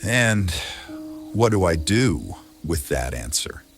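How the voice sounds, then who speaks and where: An actor playing a grave, troubled medieval duke in a fantasy audio drama, speaking in a measured, philosophical way. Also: male voice, low voice, sing-song, faint music behind, full character voice. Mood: brooding.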